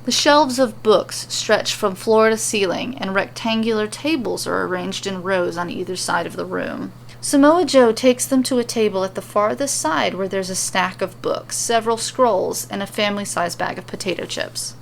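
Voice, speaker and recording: A noisy recording of a woman with a medium-pitched voice reading serial fiction aloud. She narrates as the host of the story. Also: normal speed, creaky, hardly any pauses.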